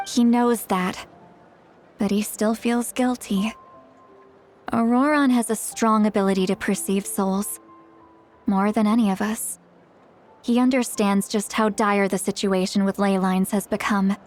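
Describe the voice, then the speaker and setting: A woman's voice: medium pitch, fairly even intonation, unhurried, pauses often, darkish tone, soft background music, natural voice. An actress playing a young woman warrior in an English anime game dub, with an earnest, candid and sincere delivery. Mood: somber, regretful.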